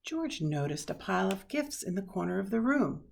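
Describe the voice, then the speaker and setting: A woman reading a children's picture book aloud expressively, with dramatic pauses and hushed asides. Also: medium pitch, very animated, vocal fry, a little hiss or hum. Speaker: storybook reader.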